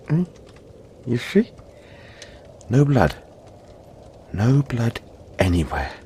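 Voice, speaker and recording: A man playing a huge, gentle, cuddly yeti boy in an intimate audio roleplay, talking politely and haltingly to reassure the listener. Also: medium pitch, a little hiss or hum. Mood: gentle, reassuring. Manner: soft-spoken reassurance, halting, polite and chatty.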